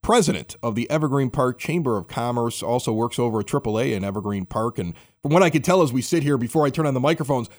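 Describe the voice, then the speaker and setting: A man's voice: medium pitch, animated, quick pace. A man hosting a local podcast in a chatty, conversational style. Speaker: podcast host. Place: home basement bar studio.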